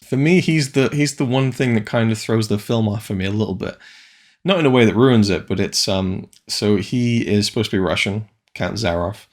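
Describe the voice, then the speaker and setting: A man's voice: fairly low pitch, normal speed. A man from Wales giving a casual, rambling film review, full of hesitant restarts.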